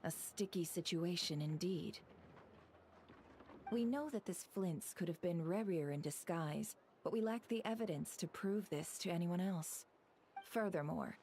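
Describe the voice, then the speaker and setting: A lowish-pitched woman strategist, composed and discreet. She reasons out loud in deliberate, articulate phrases, with faint background noise behind her. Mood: analytical. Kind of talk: scripted game dialogue.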